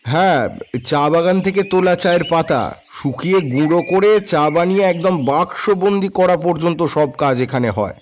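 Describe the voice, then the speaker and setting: A man's voice: on the high side, animated, moderate pace, a little hiss or hum, lightly acted. A man playing a courteous but guarded tea-estate manager in an audio drama, speaking politely and hesitantly. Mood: explanatory.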